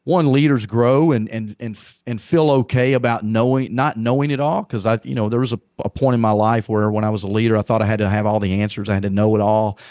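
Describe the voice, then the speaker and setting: Man, southern accent, middle-aged, lowish voice, restarts and repeats, dry sound. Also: darkish tone, no room sound. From Arkansas, US. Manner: rambling, repeats words, earnest. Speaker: podcast guest, leadership coach. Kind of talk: casual conversational interview.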